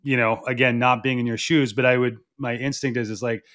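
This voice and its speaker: A man's voice: medium pitch, little pitch movement, brisk, fairly bright tone. A man interviewing a coach, asking long, hesitant questions full of restarts and you-knows. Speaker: interviewer, podcast host.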